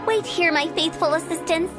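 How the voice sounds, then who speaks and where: A woman's voice: extremely high pitch, moderate pace, over music. A woman voicing a cheerful, eccentric young funeral parlor director in a video game, with a playful, theatrical sales patter. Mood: bright, scheming.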